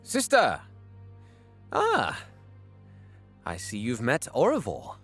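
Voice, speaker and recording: Scheming young dark elf prince played by a man, wildly varied pitch, unhurried, haughty, theatrical audio drama. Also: wildly swooping pitch, heavily acted. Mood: haughty, intrigued. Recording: music under the voice. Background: music.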